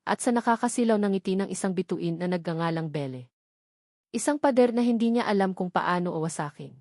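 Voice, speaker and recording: A woman narrates a romance story from a script. Her delivery is dramatic storytelling at a middling pitch, recorded close and dry. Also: darkish tone, no echo.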